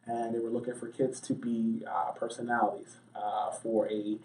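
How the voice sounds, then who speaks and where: A man's voice: medium pitch, little pitch movement, moderate pace, darkish tone. An American man giving talkative, anecdotal answers as a sports journalist being interviewed. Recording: roomy, distant mic.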